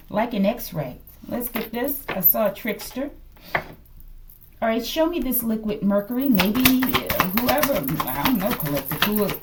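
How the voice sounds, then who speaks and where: A woman hosts an improvised tarot reading in a mid-range voice with very varied intonation. She thinks aloud in short, halting phrases, speculating casually and often turning to her listeners to check in. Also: mid-range voice, noisy background. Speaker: tarot reader and channel host.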